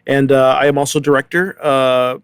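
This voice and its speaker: A man from San Diego introduces his work in a casual but professional way. His pitch is average and the recording is close and dry. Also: level tone, normal speed, clear, resonant tone, dry recording. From from San Diego, United States. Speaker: theater director, panel guest.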